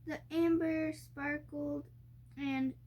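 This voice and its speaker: A young girl reading a storybook aloud haltingly, sounding out and stumbling over harder words. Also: sits high, level tone, a little mellow, rough recording. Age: child. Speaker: young reader co-hosting a family reading video.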